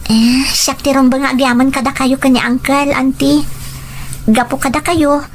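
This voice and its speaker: A woman's voice: high-pitched, level tone, normal speed, lightly acted. A woman playing a naive, devoted young student from the provinces in a scripted audio drama. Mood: polite, grateful. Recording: noticeable background noise, ambience.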